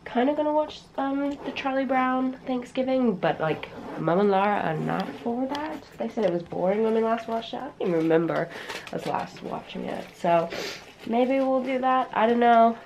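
A young woman with a hoarse voice that has gone deep from a cold, chatting casually to a handheld camera, giggly and rambling with many fillers. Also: expressive, normal speed, a little mellow, a little hiss or hum. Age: young adult. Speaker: vlogger. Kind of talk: casual vlog chat. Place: bedroom at home, then staff room at work. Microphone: handheld camera at arm's length.

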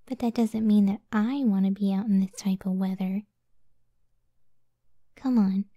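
Woman voicing a soft, mellow, half-whispering gentle giantess. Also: medium pitch, no echo, studio quality, lightly acted. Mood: tender, caring. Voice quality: soft, breathy, often near whisper. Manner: slow, tender, unhurried. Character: gentle, self-doubting giant cyclops wife, devoted and protective. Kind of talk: ASMR roleplay, soft intimate half-whispered speech.

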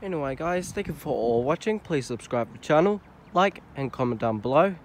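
A man's voice: fairly high pitch, expressive, brisk. Chatty young man on a railway platform. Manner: chatty, hesitant, addresses viewers as guys. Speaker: train vlogger. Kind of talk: casual vlog narration. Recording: faint outdoor noise. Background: faint outdoor ambience.

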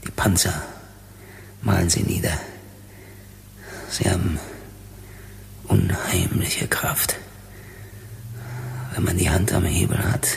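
A gravelly, embittered space miner. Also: male voice, deep voice, full character voice. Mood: intense, menacing. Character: rough, proud, embittered miner on Venus, male. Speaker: actor. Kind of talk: German radio drama acting.

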